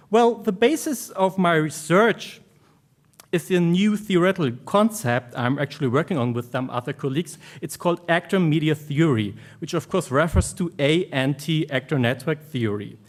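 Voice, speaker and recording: A man with a German accent giving an academic conference presentation, speaking in halting, informal phrases as a researcher. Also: fairly high pitch.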